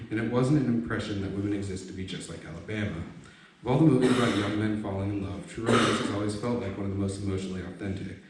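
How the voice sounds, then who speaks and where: A man reading a written personal essay aloud to an audience at a book launch. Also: on the low side. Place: echoey room at a live book launch event. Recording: very echoey room, distant mic, faint noise.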